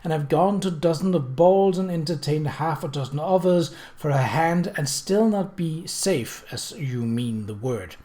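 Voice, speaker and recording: A reader voicing a composed, perceptive upper-class lady, measured, formal and gently admonishing. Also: mid-range voice, lively intonation, pitched above the performer's own voice, lightly acted.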